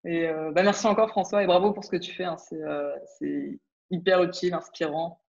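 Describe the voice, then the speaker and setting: A woman's voice: fairly low pitch, steady tone, brisk, vocal fry, darkish tone, lo-fi sound. A woman in her twenties from France, a startup founder talking casually in an interview, hesitant with many fillers and restarts. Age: 20s. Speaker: startup founder, podcast guest.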